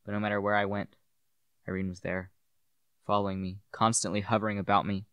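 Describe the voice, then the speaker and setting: A man's voice: lowish voice, little pitch movement, a little fast, fairly bright tone, clean tone, no room sound, dull audio, close to an everyday voice. A young man playing a brooding, grieving widower and devoted husband in a solemn, theatrical radio play. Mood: grieving, haunted. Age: young adult. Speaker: student actor. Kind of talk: radio play acting and monologue.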